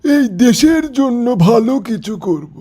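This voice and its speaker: A man puts on a shameless older Bangladeshi politician with a strikingly high yet soft, mellow voice. He is evasive and stubbornly defensive, sounding pleased with himself throughout a satirical phone-call sketch. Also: sing-song, unhurried, full character voice. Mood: defiant.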